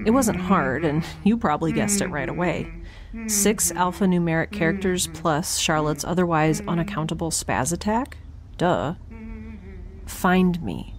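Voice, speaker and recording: A woman's voice: fairly low pitch, lively intonation, measured pace, a crowd in the background. A woman playing a quirky young scientist in space, recording a chatty, wry spoken log for an audio drama. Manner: chatty, wry, self-interrupting, informal.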